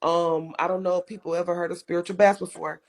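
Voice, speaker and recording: A woman's voice: lowish voice, flat delivery, fast talker. A woman with a strong voice giving earnest advice in a casual chat, repeating her points for emphasis. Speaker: guest in livestream chat.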